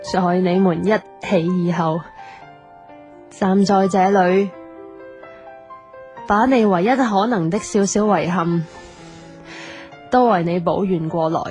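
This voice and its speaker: An actress as a young Hong Kong Cantonese woman, unhurried audio drama delivery, music behind. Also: medium pitch, darkish tone, close to an everyday voice. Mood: tender, earnest.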